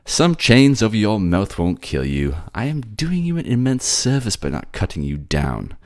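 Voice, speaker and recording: A man acts out a suave male prince of a sea people, his voice lifted and creaky. He speaks in a courtly, old-fashioned way, gently mocking and sardonic, as in a fantasy audio drama. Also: fairly low pitch, sing-song, normal speed, pitched above the performer's own voice. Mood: icy, measured.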